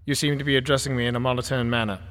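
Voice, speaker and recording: A man voicing a fussy, formal Victorian gentleman archivist who speaks in a prim, reassuring way. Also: medium pitch, level tone, racing, close to an everyday voice. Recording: long reverb.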